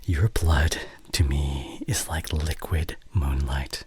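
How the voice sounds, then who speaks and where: A man's voice: extremely low pitch, fairly even intonation, takes it slowly, a little mellow, husky, dry recording, full character voice. A man voicing an ancient, courtly, besotted vampire in a formal, hesitant, half-whispered ASMR roleplay monologue, close on the mic. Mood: yearning, obsessed. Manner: formal, old-fashioned, hesitant phrasing. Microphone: close studio condenser mic.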